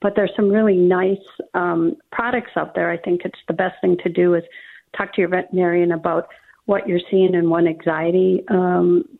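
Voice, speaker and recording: A woman's voice: lowish voice, little pitch movement, normal speed, gravelly. A woman veterinarian with a Minnesota accent, giving practical pet advice as a guest on a radio call-in show, with many ums and uhs. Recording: phone-line call.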